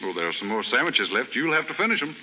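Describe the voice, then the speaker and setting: An actor playing a kindly, well-off novelist, polite and gently amused, in a 1930s radio drama. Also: male voice, medium pitch, big pitch swings, quick pace, lightly acted. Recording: muffled, very noisy, reverberant 1930s radio recording.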